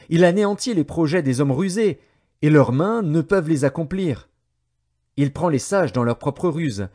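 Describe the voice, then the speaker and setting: A man reading scripture as an audiobook narrator, in a measured, declamatory and solemn style with dramatic phrasing. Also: a little high, dark tone.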